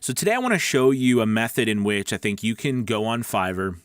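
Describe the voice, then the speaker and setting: A man's voice: fairly low pitch, sing-song, brisk, no room sound, studio quality. A man hosting a live-streamed coaching show for new voice actors, talking in a chatty, rambling way with lots of fillers. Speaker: host.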